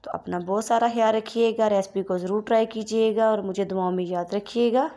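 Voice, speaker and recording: Mellow-voiced woman narrating a recipe. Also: medium pitch, a little fast. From Pakistan. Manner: step-by-step instructional, polite and steady. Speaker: cooking channel host.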